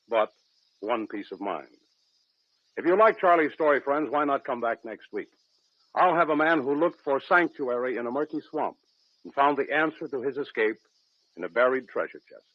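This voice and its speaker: A man's voice: mid-range voice, animated, normal speed, dry recording, natural voice. A man playing a genial adventurer who hosts and narrates suspense tales on old-time radio. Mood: knowing, inviting. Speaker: host and narrator. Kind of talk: 1940s radio drama narration. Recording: dull old radio recording with music.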